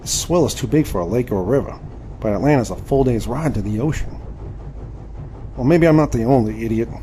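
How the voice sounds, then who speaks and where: A man's voice: medium pitch, a little fast, warm tone, slight background noise, natural voice. An actor playing a proud, indignant Southern crossbowman of the 1870s in an audio drama, with formal, theatrical speech. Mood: groggy, bewildered. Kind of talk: audio drama with first-person narration.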